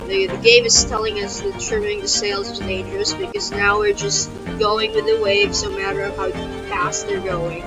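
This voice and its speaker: A woman's voice: mid-range voice, sing-song, moderate pace, hardly any pauses, rough recording. Woman narrating a game playthrough. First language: Filipino. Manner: chatty, talks through her choices with restarts. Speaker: gaming YouTuber. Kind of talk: let's-play commentary, reading game text aloud. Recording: loud game music behind the voice.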